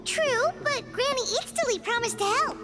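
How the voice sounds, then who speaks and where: A woman's voice: very high voice, moderate pace. A woman voicing a tiny, chatty fairy companion in a video game, childlike, cutesy and eager. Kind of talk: scripted video game dialogue. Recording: game music behind.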